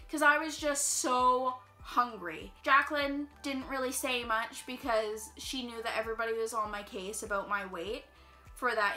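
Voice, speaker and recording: A young woman in a casual vlog, rattling through a personal story while doing her makeup. Her voice sits a bit high and sounds bright and a little stuffy. A faint music bed plays under her. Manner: chatty, fast-flowing, heavy use of "like". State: slightly congested. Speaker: vlogger.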